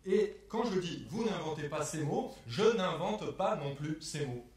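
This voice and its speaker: A man delivering a scripted spoken exposé in a measured, methodical way, setting phrases apart like quotations. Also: fairly high pitch, animated, a little mellow, recorded from a distance. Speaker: performer in a spoken-word performance lecture. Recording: echoey room, picked up from afar.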